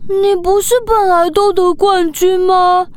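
A woman voicing a concerned young sea turtle schoolmate in a children's radio drama, with drawn-out exclamations. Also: very high-pitched, little pitch movement, no room sound. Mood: surprised concern. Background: background music.

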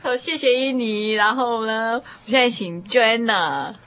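A woman's voice: on the high side, measured pace, a bit bright. A woman hosting a discussion programme on the radio. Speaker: radio host.

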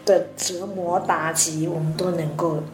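A woman's voice: lowish voice, flat delivery, measured pace, over music. A woman hosting a conversational radio interview, speaking in a reflective, empathetic manner. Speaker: radio program host. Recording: roomy echo, faint background noise.

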